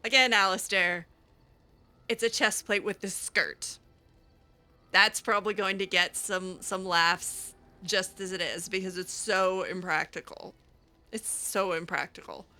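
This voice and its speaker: A woman improvising in-character chat over a video game, casual and halting, with a teasing streak. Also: medium pitch, bright, forceful tone. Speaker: gaming channel host, roleplayer. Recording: music behind the voice. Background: game music.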